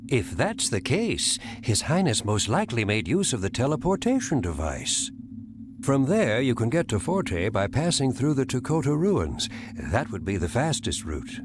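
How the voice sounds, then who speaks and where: A man voicing a calm advisor in a game cutscene, explaining things in a measured way. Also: mid-range voice. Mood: thoughtful. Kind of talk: video game cutscene acting. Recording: game audio with ambient noise.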